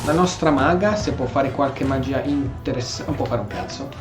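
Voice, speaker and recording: A man's voice: mid-range voice, a little fast. Echoey Italian man commentating on a game. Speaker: gaming streamer. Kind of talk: casual gameplay commentary. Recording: roomy echo, faint music behind.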